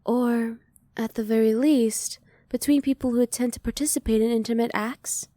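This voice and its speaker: Woman playing a soft, mellow, reserved alien scientist. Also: fairly high pitch, no echo, close to an everyday voice. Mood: curious, awkward. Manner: soft, measured, formal, restrained. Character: curious, formal, emotionally reserved female alien scientist. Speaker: voice actor. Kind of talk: ASMR roleplay.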